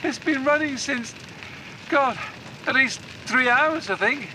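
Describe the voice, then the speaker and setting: A woman acting a shaken but determined, compassionate survivor in a horror audio drama, halting and stammering through her words. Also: high-pitched, pushed and bright, full character voice. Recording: noisy outdoor scene effects.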